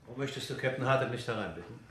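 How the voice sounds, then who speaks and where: An actor playing a courteous, shrewd older father in a radio drama, speaking in a genteel, deliberate way. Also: medium pitch, noisy background, close to an everyday voice.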